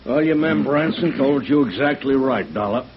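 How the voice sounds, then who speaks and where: An actor playing a brusque, blunt, cigar-chewing booking agent in a 1950s radio drama. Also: male voice, medium pitch, normal speed, background noise, lightly acted. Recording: dull vintage radio recording, faint music.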